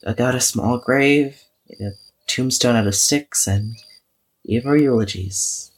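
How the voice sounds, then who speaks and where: A man acting as a weary young man keeping an audio diary in a horror podcast drama. His voice is husky and sits at an average male pitch. He muses hesitantly, self-effacing, with a wry cynical streak. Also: a little slow, close to an everyday voice, poor audio. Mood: subdued. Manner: hesitant, musing, wryly cynical.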